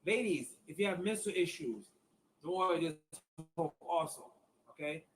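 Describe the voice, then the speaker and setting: Chatty fifty-year-old man, higher voice. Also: lively intonation, normal speed, a bit bright, slight background noise. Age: 50s. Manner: chatty, repeats himself, addresses viewers as y'all. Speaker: acupuncturist. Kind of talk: casual online demonstration.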